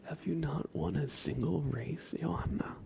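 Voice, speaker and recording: A man hosting an ASMR livestream, whispering softly into a close microphone as he chats casually to viewers and comments on a race. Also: a little high, creaky, background noise. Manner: soft whispering, unhurried chat to viewers. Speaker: livestream host. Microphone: close sensitive microphone.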